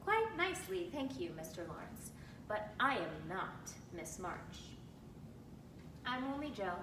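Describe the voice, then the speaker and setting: Woman playing Jo, an animated, blunt tomboy girl. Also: unhurried. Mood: frank, chatty. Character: blunt, eager tomboy teenage girl, awkward but friendly. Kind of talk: dramatic reading of a classic novel. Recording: roomy, distant mic with rumbling noise.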